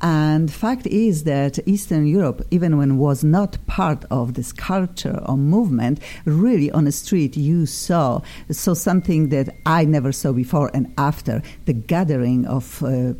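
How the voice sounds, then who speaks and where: A woman's voice: deep voice, warm tone. A middle-aged woman from Poland with a Polish accent, hosting an interview, speaking in earnest non-native phrasing. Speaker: radio host.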